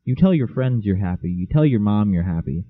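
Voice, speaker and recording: A young man co-hosting a casual podcast, joking sarcastically and deadpan. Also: fairly low pitch, brisk, no echo. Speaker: podcast co-host. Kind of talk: casual chat. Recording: dull lo-fi home mic.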